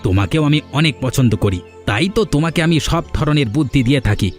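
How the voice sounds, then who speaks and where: A man's voice: a little high, lively intonation, quick pace, soft background music, natural voice. A man voicing a meddling, ingratiating younger village schemer in a cartoon, chatty and wheedling. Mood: conniving. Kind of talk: Bengali cartoon fairy-tale acting.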